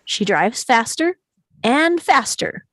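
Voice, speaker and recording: A woman's voice: a little high, big pitch swings, slow pace, a bit bright, no echo, studio quality. A woman reading stage directions as the narrator of a live script table read.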